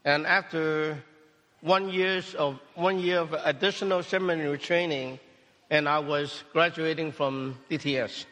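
Cantonese-native pastor giving a sermon in short, paused phrases, slightly high voice, long reverb of a church hall. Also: male voice, steady tone, a bit bright. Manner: speaks in short phrases, pausing for interpretation. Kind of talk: sermon testimony with interpreter.

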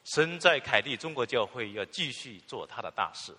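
Male sermon interpreter with a bright, animated voice, filler particles between phrases, echoing in a long-reverb church hall. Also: medium pitch, moderate pace, slightly rough. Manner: fluent, with filler particles and restarts.

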